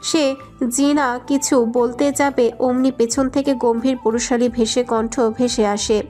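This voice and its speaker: A woman's voice: a little high, fairly even intonation, measured pace, a little mellow, faint music behind. A woman narrating a scripted romantic story.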